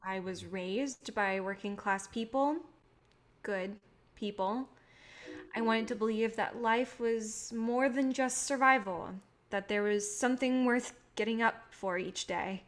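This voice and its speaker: Actress as wry guarded filmmaker woman, idealistic, kinda high voice, natural stage drama reading. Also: measured pace, lightly acted. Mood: reflective, guarded. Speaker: actor in a staged play reading.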